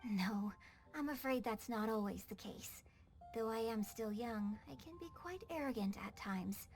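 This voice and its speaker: A woman playing a polite, modest young opera performer in a video game, with formal, gracious, measured speech. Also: fairly high pitch, darkish tone. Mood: humble, gracious. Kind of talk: animated game cutscene dialogue. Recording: music behind the voice.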